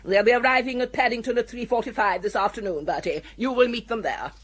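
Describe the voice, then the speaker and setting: Imperious English aunt, husky edge. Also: female voice, fairly high pitch, fast. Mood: commanding. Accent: upper-class English. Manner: imperious, scolding. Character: stern, domineering upper-class English aunt. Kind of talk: radio comedy drama acting.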